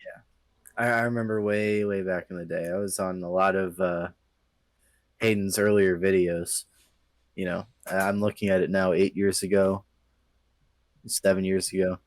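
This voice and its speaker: Low-voiced young man, close dry sound. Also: little pitch movement, normal speed, long pauses, dry recording. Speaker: podcast guest. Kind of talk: casual chat, reminiscing.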